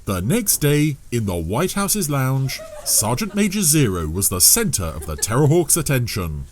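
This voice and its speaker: A man's voice: medium pitch, huge pitch swings, moderate pace. A man narrating a scripted audio drama as a storyteller. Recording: music bed under the voice.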